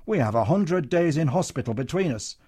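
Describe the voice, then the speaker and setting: A bullying music-hall comedian, put on by a male storyteller, loud and pitched well up. He hectors and wheedles, brash and blustering. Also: lively intonation, fairly quick, husky. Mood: indignant. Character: blustering London comedian, troupe leader, bullying then wheedling.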